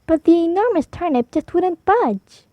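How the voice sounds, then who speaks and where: A woman storyteller reading a picture book aloud for preschoolers, animated, drawing out key words for emphasis. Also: very high voice, moderate pace, no room sound. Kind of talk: expressive read-aloud for preschoolers.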